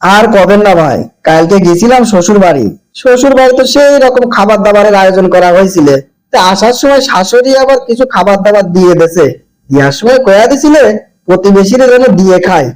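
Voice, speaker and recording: A man's voice: high-pitched, animated, a little fast, a little mellow, low-quality recording. A man voicing a friendly, generous village neighbour in a cartoon, chatty and colloquial, with a rural Bangladeshi accent. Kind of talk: amateur cartoon voice acting.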